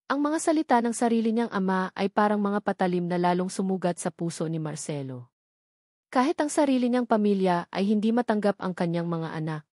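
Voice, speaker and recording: A woman's voice: medium pitch, darkish tone, no echo, clean studio sound. A woman narrating a scripted, dramatic romance story as a storyteller.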